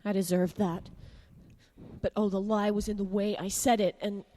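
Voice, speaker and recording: An actress playing a treacherous young woman who pleads with a detective in a hesitant, breathy way. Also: medium pitch, flat delivery, normal speed, warm tone, in a large hall, slight background noise, close to an everyday voice. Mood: anxious.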